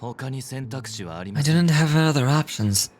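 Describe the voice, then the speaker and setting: Composed game nobleman, formal, courteous, deliberate, music behind. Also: medium pitch, lively intonation. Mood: controlled, regretful. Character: composed, courteous male family leader, protective brother. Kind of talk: video game voice acting.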